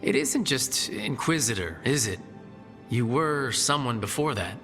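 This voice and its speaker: An actor playing a wry, easygoing young hero in a fantasy video game, with a casual delivery. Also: male voice, mid-range voice, animated, unhurried, a bit bright. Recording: long reverb, music behind.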